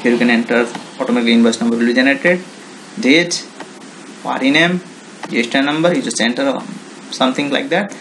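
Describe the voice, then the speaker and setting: A man with an Indian accent giving an informal, unscripted software tutorial in halting English with non-native phrasing. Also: mid-range voice, a little hiss or hum, rough recording. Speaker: software demonstrator.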